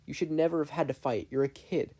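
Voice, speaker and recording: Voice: mid-range voice, fast, mellow, breathy edge, voice pushed up, natural voice. A narrator voicing a protective, encouraging, fiery older great-uncle in a fan fiction reading. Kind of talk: fan fiction audiobook character voice.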